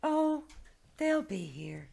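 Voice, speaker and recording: A woman's voice: sits high, very animated, drawn-out words, vocal fry, dark tone, exaggerated voice. A woman playing a kindly, fretful nanny in an old-time radio drama, coaxing in a motherly way. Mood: gently evasive. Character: kindly, fretful nanny soothing a little girl.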